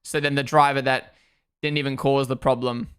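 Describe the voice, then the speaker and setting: Young male streamer, Kiwi-Aussie accent, bright and crisp, casual live chat. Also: mid-range voice, little pitch movement. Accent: mixed New Zealand and Australian. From New Zealand. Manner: chatty, quick asides, reacts loudly to on-track moments. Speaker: streamer hosting a watchalong.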